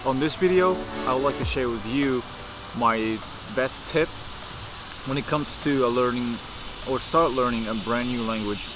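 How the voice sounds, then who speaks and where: A young man from Japan with a Japanese accent, casually talking to camera as a vlogger, in a relaxed, unhurried way, pausing to think with fillers. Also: medium pitch, noisy background.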